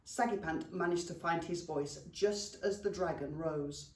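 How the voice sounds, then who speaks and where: A woman's voice: lowish voice, unhurried. A British woman reading a children's story expressively to young pupils, like a teacher at storytime. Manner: animated, addresses young listeners fondly. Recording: roomy echo, distant microphone.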